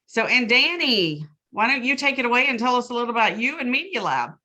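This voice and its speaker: A woman's voice: on the high side, very animated, fairly quick, bright, forceful tone. A woman co-hosting a panel webinar over a video call, chatty and tripping over names now and then. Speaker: webinar co-host, management consultant. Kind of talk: casual panel chat.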